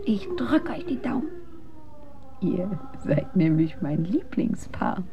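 A woman's voice: mid-range voice, big pitch swings, takes it slowly, lo-fi sound, slight background noise. An actress in a radio drama playing a kindly, doting old woman with a fond, motherly way of talking. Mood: encouraging. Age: older. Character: kindly, doting old lady, devoted contest spectator.

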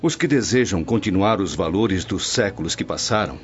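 An actor with a Brazilian accent playing a reserved, honourable Roman nobleman in a radio soap, measured and stern. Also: low-pitched, animated. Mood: stern, reproving. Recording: dull lo-fi audio under music.

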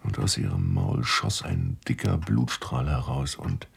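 An actor in a German radio drama playing an obsessed, agitated scientist who speaks haltingly and intensely. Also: male voice, extremely low pitch, lively intonation, creaky, slightly rough, exaggerated voice. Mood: horrified. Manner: halting, intense, self-justifying. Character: obsessed, agitated male scientist under an eerie music's spell.

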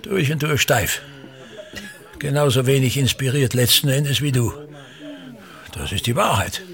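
A man's voice: medium pitch, a little slow, fairly bright tone. An actor playing a pompous, self-important older theatre man and tyrannical father, declaiming disdainfully and repeating his phrases in a radio drama. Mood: scornful.

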